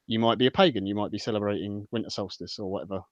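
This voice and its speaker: A man's voice: on the low side, very fast, no echo, dull audio. A man hosting a live football podcast, rambling and joking between restarts as he chats casually with his co-hosts. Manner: rambling and jokey, many restarts. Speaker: podcast host.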